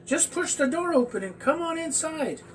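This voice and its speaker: A man voicing a coaxing, husky old grandmother, really a wolf in disguise, in a storybook. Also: very high voice, expressive, moderate pace, fairly bright tone, voice pushed up. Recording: distant mic with faint background noise.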